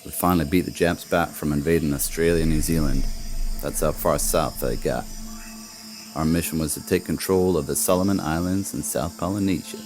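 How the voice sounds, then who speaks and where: A man performs a gruff, war-weary old soldier narrating a war film. The voice sits extremely low, with plain, folksy phrasing and rough grammar. Music plays clearly behind the grim storytelling. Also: fairly quick, a little mellow. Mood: grim, haunted. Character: gruff, war-weary old male soldier recounting eerie wartime encounters. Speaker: voice actor. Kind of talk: scripted narration, film monologue voice reel.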